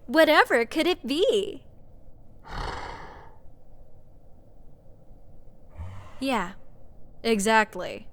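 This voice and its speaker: Woman acting a snarky, high-voiced sorceress. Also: a bit bright, slight background noise, full character voice. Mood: sarcastic. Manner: sarcastic, conversational, emphatic. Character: snarky young sorceress, exasperated, scoffing, nitpicking adventurer. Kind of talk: fantasy audio roleplay, addressing the listener. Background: fantasy dungeon ambience.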